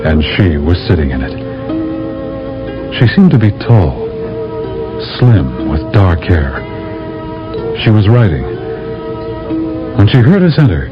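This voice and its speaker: Persuasive astronaut captain in an old radio play, lower voice with lively pitch, dull broadcast sound. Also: male voice, exaggerated voice. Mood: tense. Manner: earnest, persuasive, lively delivery. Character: earnest male astronaut captain, narrating. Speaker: narrator and lead. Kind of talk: 1970s radio drama acting.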